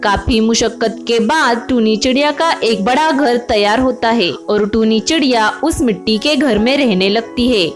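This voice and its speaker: A woman's voice: fairly high pitch, unhurried, few pauses, soft background music. A woman reading scripted narration for a children's cartoon moral story.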